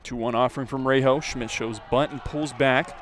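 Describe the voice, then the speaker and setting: A man's voice: mid-range voice, normal speed. A man doing live play-by-play baseball commentary as a radio announcer. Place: outdoor ballpark. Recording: steady background noise at outdoor ballpark.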